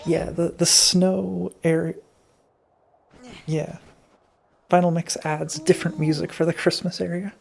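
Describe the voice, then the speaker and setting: A man with a gravelly voice, co-commentating on a video game in casual, sometimes sweary chat. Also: a little high, flat delivery, measured pace, pauses often, a little mellow, a little hiss or hum.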